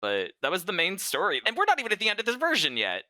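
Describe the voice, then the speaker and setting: A man's voice: sits high, huge pitch swings, fast, sharp tone, no room sound, studio quality. A man co-hosting a podcast, giving a casual recap and chatting. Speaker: podcast co-host.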